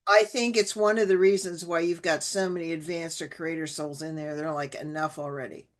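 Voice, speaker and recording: A woman's voice: deep voice, steady tone, brisk. A woman hosting an interview, asking long, winding questions full of "like" and restarts. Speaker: podcast host.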